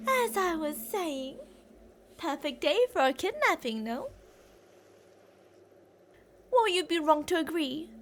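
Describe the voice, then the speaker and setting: A woman's voice: high-pitched, a little hiss or hum, exaggerated voice. A woman playing an obsessive, delusional female kidnapper who rambles theatrically and teasingly to a captive in an ASMR roleplay. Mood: chatty, complaining.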